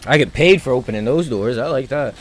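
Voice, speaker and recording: A man's voice: mid-range voice, fast. A man giving casual, slangy gaming commentary as a live streamer, muttering reactions as he plays.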